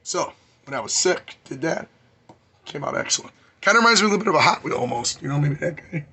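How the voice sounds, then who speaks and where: A man, hoarse and losing his voice, giving a rambling, enthusiastic hobby update on his model car builds. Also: on the high side, animated, fast, sharp tone. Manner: rambling, enthusiastic, many fillers.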